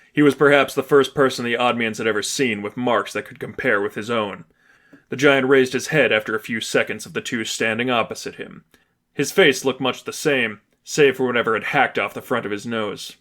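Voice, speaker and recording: A man narrates a written story. His voice is of medium pitch, delivering scripted prose as an audiobook-style reader. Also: fairly bright tone. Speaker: narrator reading fan fiction.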